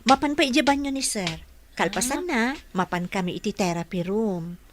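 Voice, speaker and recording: A woman's voice: mid-range voice, normal speed, a little hiss or hum, lightly acted. A woman playing a dutiful, deferential caregiver and mother in an audio drama, speaking respectfully and earnestly.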